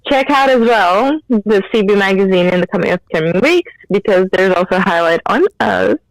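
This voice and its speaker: A young adult woman with a Dutch accent, co-hosting a student radio show in relaxed, slangy casual chat. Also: mid-range voice, moderate pace, dry recording, poor audio. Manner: relaxed, uses slang and fillers.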